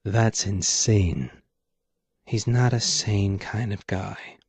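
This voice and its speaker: A man's voice: on the low side, slow, a little mellow, slightly rough, dry recording, exaggerated voice. A man voicing a laconic, wry hard-boiled hero in a noir audio story. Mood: reassuring. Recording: dull muffled lo-fi audio.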